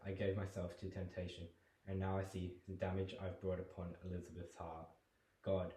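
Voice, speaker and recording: A man's voice: low-pitched, lightly acted. A young man performing a guilt-ridden condemned man in a jail cell, solemn and pleading. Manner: solemn, builds to furious shouting. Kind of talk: dramatic monologue performance. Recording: roomy echo.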